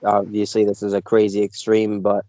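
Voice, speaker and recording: A man co-hosting a casual podcast who thinks out loud and hedges his guesses. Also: lowish voice, monotone, normal speed, no echo. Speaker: podcast co-host.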